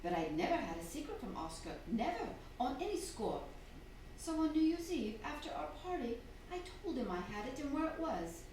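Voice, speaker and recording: An actress playing a young, unhappily married widow in a stage radio drama, speaking emphatically with dramatic pauses. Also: female voice, sits high, sing-song, darkish tone. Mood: distressed, pleading. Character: young unhappy widow, cool and composed, pleading when cornered. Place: theatre stage, live performance. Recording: very echoey hall, distant mic, faint noise.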